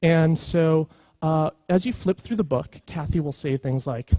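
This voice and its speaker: A man delivers a conference talk in a conversational, earnest style. His voice sits a little high yet is relaxed and mellow. The sound is close and dry, and he stresses his points informally. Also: measured pace, no echo. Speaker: conference speaker, creative executive. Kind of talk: conference presentation, conversational storytelling.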